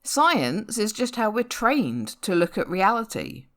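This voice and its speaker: A woman's voice: medium pitch, big pitch swings, a little slow, a bit bright. A woman voicing an opinionated, insistent New Age young woman in a poem reading, declaiming preachily. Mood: pert, insistent.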